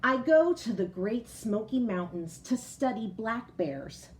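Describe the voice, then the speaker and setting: A woman reading a picture book aloud to children like a teacher, expressive, pausing to explain and put questions directly to young listeners. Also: a little high. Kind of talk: storybook read-aloud with explanatory asides.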